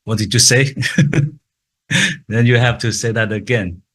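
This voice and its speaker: A man with a very low voice, speaking slowly and carefully in English. His is Chinese, and he stutters, repeating words and the starts of sounds. He is giving a talk at a conference. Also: fairly even intonation, fairly bright tone. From Taiwan. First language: Mandarin Chinese.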